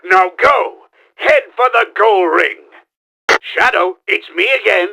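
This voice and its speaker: A man playing a pompous middle-aged mad scientist villain in a video game, theatrical and exclamatory, with a gravelly, forceful voice. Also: high-pitched, slow, heavily acted. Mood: commanding. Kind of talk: video game tutorial voice lines.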